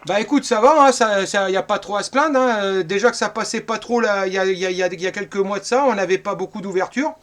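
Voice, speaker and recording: A man's voice: sits high, fast talker, few pauses, a bit bright. A man in his fifties from northern France, chatting casually and at length as a radio operator, often repeating his words. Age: 50s. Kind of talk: casual radio contact chat.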